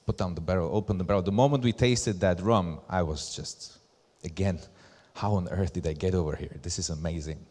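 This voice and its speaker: Male presenter, Slovak accent, lower register, deliberate emphatic phrasing, live stage talk with long hall reverb. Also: darkish tone. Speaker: presenter, brand ambassador. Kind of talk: conference presentation. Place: large hall at a live event.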